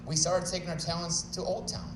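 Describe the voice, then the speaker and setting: A young man with a Chicago accent gives a wedding speech full of jokes at his own expense, mumbling a bit as he talks. His voice sits a little high and sounds distant in a big echoey hall over a steady hum of guests. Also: fairly quick. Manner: joking, self-deprecating, nervous at first. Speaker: wedding speaker. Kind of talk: wedding toast.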